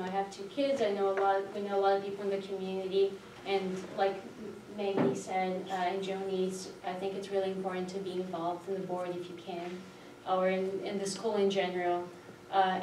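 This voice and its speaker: A woman from Brazil, a native Portuguese speaker, giving an informal candidate introduction in a hesitant, halting way. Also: medium pitch. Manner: hesitant, halting with frequent pauses. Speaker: school board candidate. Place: indoor public board meeting, far microphone. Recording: roomy, noisy, distant meeting-room microphone.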